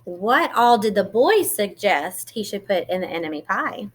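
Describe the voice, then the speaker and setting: A woman reading a children's picture book aloud, expressively, with deliberate emphasis and questions put to the listener. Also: mid-range voice. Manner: animated, deliberate emphasis, pausing to ask questions.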